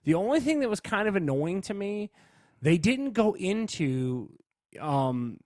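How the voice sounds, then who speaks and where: A man with a slightly high voice chats casually as a podcast co-host. He is blunt and opinionated, using plenty of slang. The sound is very dry, with no room around him. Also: very animated, measured pace, darkish tone, dry recording. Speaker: podcast co-host reviewing movies. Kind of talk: casual chat.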